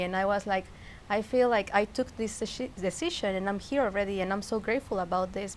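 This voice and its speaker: A woman's voice: medium pitch, rough recording. A woman from Peru with a Peruvian Spanish accent, talking casually and reflectively as a guest, searching for her phrasing in English. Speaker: interview guest. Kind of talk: casual personal conversation. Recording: clear background noise, ambience.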